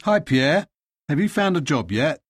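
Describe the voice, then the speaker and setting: A man reading scripted dialogue lines in a language lesson, steady and carefully articulated. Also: on the high side, unhurried, breathy edge, dry recording, studio quality.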